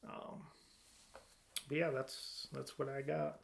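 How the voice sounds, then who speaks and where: A man's voice: medium pitch, flat delivery, slow, creaky. A man co-hosting a podcast in casual chat, informal and often thinking aloud as he goes. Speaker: podcast co-host. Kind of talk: casual chat about movie casting.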